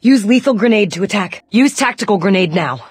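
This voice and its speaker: An actress playing a determined female special forces soldier with a husky voice in video game lines. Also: medium pitch, expressive, fairly quick, no echo. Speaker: game operator.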